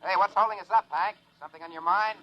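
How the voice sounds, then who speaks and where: An actor playing a young test pilot in a 1950s radio drama, dry and wisecracking, reporting matter-of-factly from the cockpit. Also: male voice, high-pitched, fairly even intonation, fast talker, highs cut off, lightly acted. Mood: focused. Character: wisecracking young male test pilot, steady under pressure.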